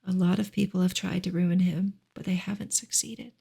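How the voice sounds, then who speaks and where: A woman reads fiction aloud as soft ASMR narration. Her voice is breathy and husky, often drifting into a whisper, with a gravelly crackle at the edges. She keeps a gentle, measured delivery. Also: on the low side, flat delivery. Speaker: audiobook-style reader, ASMR host. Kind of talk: soft ASMR novel reading.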